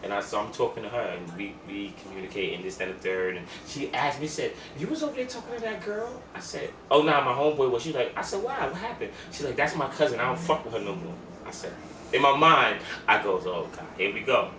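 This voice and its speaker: A man's voice: mid-range voice, animated, fast. A man speaks at a distance from the mic in a roomy, noisy space. He co-hosts a podcast with casual chat and storytelling, emphatic and slangy, often repeating himself. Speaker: podcast co-host. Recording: echoey room, distant mic, clear noise.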